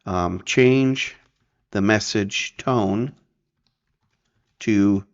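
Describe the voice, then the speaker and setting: A man presenting a casual software tutorial, explaining informally and checking in as he goes. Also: fairly low pitch, extremely slow, lo-fi sound.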